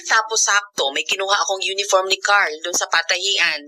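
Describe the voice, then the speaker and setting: A woman playing an outspoken, lively younger Filipino woman in a radio drama, expressive and conversational, with a Filipino accent. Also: medium pitch, fairly quick. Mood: chatty.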